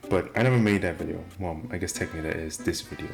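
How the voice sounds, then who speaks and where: Young man, deep low voice, off R sounds, rambling vlog, music behind. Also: rapid-fire, creaky. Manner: rambling, self-deprecating, many restarts. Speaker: vlogger. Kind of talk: casual rambling monologue. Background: video game music playing.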